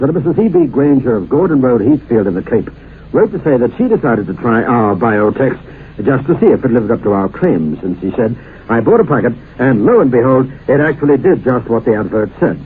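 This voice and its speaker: A man delivering an old radio commercial as announcer, in an emphatic, salesmanlike way. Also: medium pitch. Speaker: advertising announcer. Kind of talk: vintage radio commercial pitch. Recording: dull, noisy vintage radio recording.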